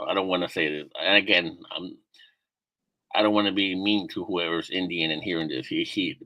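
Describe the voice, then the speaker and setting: A young man with a very low, bright and forceful voice chats casually as a stream host, stopping and restarting his sentences often. The sound is dry and close. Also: no room sound, low-quality recording. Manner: halting, many fillers and restarts. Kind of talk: casual chat, interviewing a guest.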